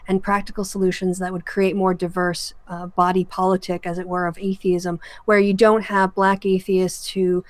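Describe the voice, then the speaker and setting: A woman's voice: fairly low pitch, level tone, normal speed, few pauses, dry recording. A woman hosting a panel discussion, explaining her opinions in hesitant, searching phrases. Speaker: podcast host. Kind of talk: panel discussion on a remote call.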